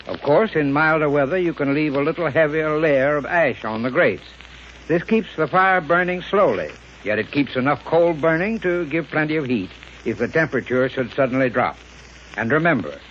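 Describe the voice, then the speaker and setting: A man with a fairly high, very animated voice delivers formal household advice as a radio expert. It sounds like a muffled 1930s broadcast. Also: a little hiss or hum. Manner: formal, instructive. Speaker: heating expert giving sponsor advice. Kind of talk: scripted 1930s radio commercial.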